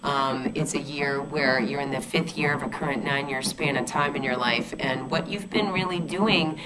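A woman with a low, strong voice hosts a casual radio talk show. She chats eagerly with her guest, full of ums, restarts and gushing praise, and she talks loud enough that she barely needs a mic. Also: normal speed, over a noisy crowd, poor audio. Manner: chatty, enthusiastic, many fillers and restarts. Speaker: radio show host and numerologist. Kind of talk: casual radio interview chat.

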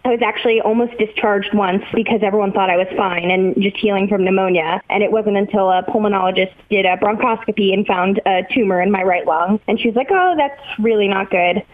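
A woman in her twenties with an American accent, telling personal stories in a chatty, animated, lightly self-deprecating way as an interview guest. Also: medium pitch, fairly quick, few pauses. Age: 20s. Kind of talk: casual personal storytelling. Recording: thin phone-like call audio.

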